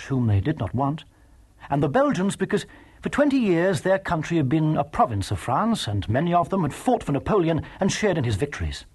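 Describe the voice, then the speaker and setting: A man giving scripted, dramatic historical narration in a measured, emphatic manner with a husky voice. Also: fairly high pitch.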